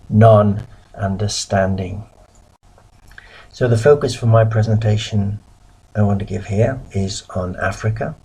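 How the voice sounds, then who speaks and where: A middle-aged man from the UK giving a recorded lecture as a missionary, measured and pausing often. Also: on the low side, gravelly, warm tone, breathy edge, low-quality recording. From United Kingdom.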